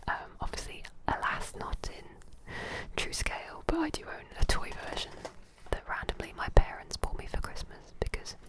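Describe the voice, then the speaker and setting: A woman in a soft, breathy, unvoiced whisper, giving gentle, chatty, admiring ASMR commentary at an unhurried pace. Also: low-quality recording. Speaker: ASMR host. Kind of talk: whispered ASMR commentary while leafing through an art book.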